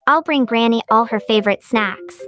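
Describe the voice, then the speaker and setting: A woman voicing an eager, excitable little girl in a children's cartoon story, with exclamatory, earnest delivery. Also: on the high side, normal speed, soft background music, lightly acted. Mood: cheerful, eager.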